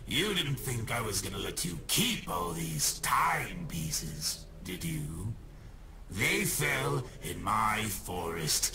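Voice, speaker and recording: A man's voice: on the low side, full character voice. A man voicing a gleeful, theatrical shadowy ghost villain in a video game, mocking and menacing, with a taunting, hammy delivery that swings from mutters to shrieks. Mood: cold, menacing. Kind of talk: exaggerated cartoon video game villain acting. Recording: loud game music behind the voice. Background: loud video game music.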